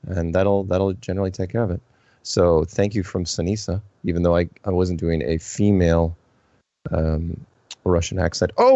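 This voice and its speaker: A man's voice: low voice, creaky, a little mellow, no room sound. A man hosting a live call-in radio show, chatting casually with dry, self-deprecating humour. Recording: dull, lo-fi broadcast sound.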